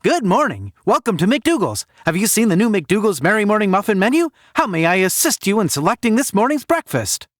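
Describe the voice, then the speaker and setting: Man putting on a relentlessly chirpy, high drive-through clerk. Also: big pitch swings, a little fast, few pauses, dry recording, clean studio sound. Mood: forced cheer. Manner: singsong, over-enunciated scripted politeness. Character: relentlessly chirpy fast-food drive-through clerk reciting corporate script.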